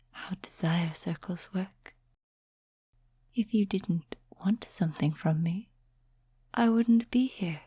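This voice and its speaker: Woman acting a breathy, whispering ancient demon temptress. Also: fairly low pitch, no room sound, clean studio sound, heavily acted. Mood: teasing. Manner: slow, teasing, soft-spoken whispering. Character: ancient seductive demon, mocking, amused, condescending to mortals. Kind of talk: whispered ASMR roleplay. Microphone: close, dry microphone.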